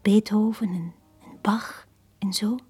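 Breathy, lonely young Flemish bar girl. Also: mid-range voice, fairly even intonation, slow pace. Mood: wistful, tender. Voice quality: breathy, hushed. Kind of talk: 1980s Flemish radio drama acting.

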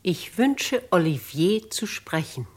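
An older actress playing a dignified, kindly, resolute noblewoman, earnest and deliberate, in a 1950s radio play. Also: on the low side, sing-song.

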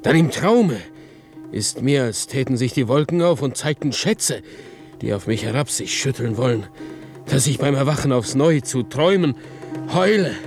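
An actor playing a brutish island monster in a classical German radio play, servile, vengeful and dreamy, with theatrical, poetic delivery. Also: male voice, medium pitch, lively intonation, normal speed, darkish tone, faint music behind, natural voice. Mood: dreamy, wistful.

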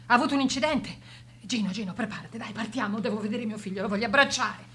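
A woman's voice: a little high, quick pace, bright, forceful tone, a little hiss or hum. An actress playing an anxious mother, desperate to reach her son, in a radio drama. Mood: alarmed, pleading.

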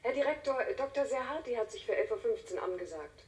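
Radio drama bit part actress: brisk secretary, rapid and deferential, off-mic with faint background noise. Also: female voice, a little high, lively intonation, a little mellow, distant mic, lightly acted. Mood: businesslike. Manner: businesslike, formal. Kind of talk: German radio drama acting.

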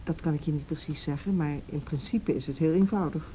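A woman playing a calm, middle-aged counsellor in a radio drama, patient, direct and matter-of-fact. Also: low-pitched, fairly quick, a little hiss or hum, close to an everyday voice, low-quality recording. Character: calm, firm female counsellor questioning a girl. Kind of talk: naturalistic radio drama acting.

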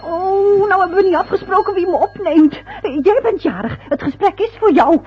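A woman's voice: extremely high pitch, normal speed, soft background music. An actress playing an anxious, bossy older mother in an old radio play. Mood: impatient. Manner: impatient, bossy. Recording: dull lo-fi old radio recording.

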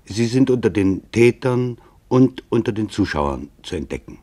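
A man narrating a scripted radio documentary play in a grave, deliberate manner with weighted pauses. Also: lowish voice, expressive, warm tone.

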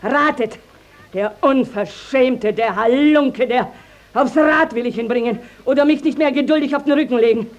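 A woman's voice: high-pitched, normal speed, lo-fi sound, natural voice. An actress playing a proud, indignant middle-aged village mother in a radio play, telling her grievance emphatically and dramatically. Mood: outraged, dramatic. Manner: emphatic, declamatory, dramatic storytelling. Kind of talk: classic stage-style radio drama acting.

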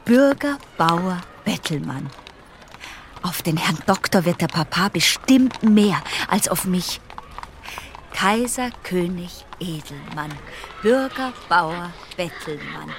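Slow, low-pitched brooding young woman. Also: animated, a little hiss or hum. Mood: brooding, calculating. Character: restless eighteen-year-old girl, musing to herself, defiant, brooding. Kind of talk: radio drama monologue.

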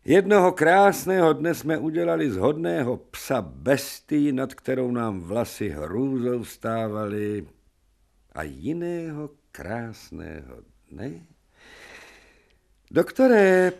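An actor playing an authoritative, ironic professor of medicine, lecturing and declaiming in a radio drama. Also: male voice, medium pitch, big pitch swings, vocal fry, a little mellow. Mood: reflective.

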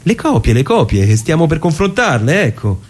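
A man's voice: fairly high pitch, big pitch swings, normal speed, voice pushed up. A man as an exasperated, demanding lawyer. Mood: impatient. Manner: urgent, demanding, questioning. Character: exasperated, insistent male lawyer ordering his clerk about.

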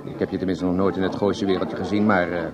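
An actor playing a casual, blunt radio technician in a radio play, informal and offhand, with party music behind. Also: male voice, low-pitched, flat delivery, quick pace, crowd noise, close to an everyday voice.

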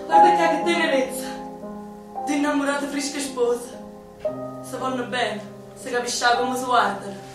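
An actress playing a spirited young woman in a bomb shelter, sharp-tongued with a singsong lilt and a Neapolitan accent. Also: high-pitched, steady tone, moderate pace, pauses often, natural voice. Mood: tender. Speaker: stage actor. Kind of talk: theatrical radio play acting. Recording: echoey distant stage recording with music.

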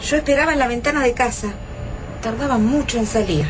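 An actress as an alluring young female survivor in a radio play, with an Argentine accent and a very high voice. Music plays behind her lines. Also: a little fast, close to an everyday voice. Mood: earnest, urgent. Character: beautiful young woman survivor, pleading yet alluring. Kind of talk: radio drama acting.

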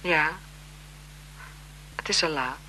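An actress in a radio drama playing an elegant, flirtatious marquise, speaking in a coy, teasing, genteel manner with languid self-assurance. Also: female voice, deep voice, slow, bright, forceful tone, slight background noise, exaggerated voice, low-quality recording. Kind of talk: 1970s Dutch radio drama acting.

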